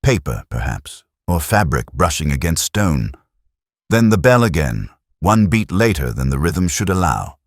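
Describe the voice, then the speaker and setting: A man playing a melancholy police inspector who narrates his case in a measured, literary, reflective way, as in a scripted mystery drama. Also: a bass voice, sing-song, dry recording, studio quality, exaggerated voice. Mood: pensive, measured.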